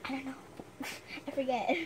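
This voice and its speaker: High-voiced woman reading a storybook. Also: normal speed, slight background noise. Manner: chatty, self-deprecating, stumbles over words while reading. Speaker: host reading a storybook aloud. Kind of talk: storybook reading with casual asides.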